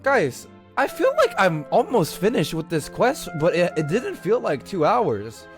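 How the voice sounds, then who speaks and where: A man's voice: high-pitched, wildly swooping pitch, measured pace, faint music behind. A man livestreaming a game with casual, rambling, slangy commentary. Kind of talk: casual gameplay commentary to chat.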